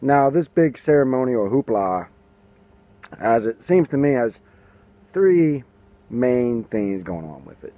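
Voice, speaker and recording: A man's voice: medium pitch, very animated, slow, long pauses, warm tone, dry recording. A man in his thirties giving sarcastic political commentary to a handheld camera outdoors. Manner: sarcastic, mocking, puts on silly voices for quotes. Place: outdoors by a garden and building. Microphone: camera at arm's length.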